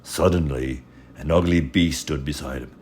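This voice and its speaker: Very deep male fairy-tale narrator. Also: faint music behind. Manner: measured, expressive storytelling. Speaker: storyteller.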